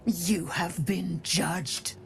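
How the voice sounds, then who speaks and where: A pitiless female cult judge from a fantasy game. The voice is slightly low and creaky, delivering each word extremely slowly and sternly over faint ambience. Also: steady tone, a bit bright, exaggerated voice. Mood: cold judgment. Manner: very slow, portentous. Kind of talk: dramatic fantasy game acting. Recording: ambient noise behind the voice.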